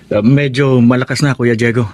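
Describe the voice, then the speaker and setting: An actor playing an earnest, grateful grown son working abroad in a Filipino radio drama. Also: male voice, medium pitch, steady tone, brisk, noisy background, close to an everyday voice. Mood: reflective.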